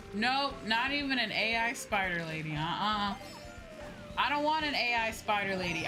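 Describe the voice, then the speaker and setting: A woman streaming casually as she plays a game, talking in an opinionated, emphatic way and swearing freely. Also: a little high. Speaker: streamer, VTuber host. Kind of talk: casual livestream chat while gaming. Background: video game music.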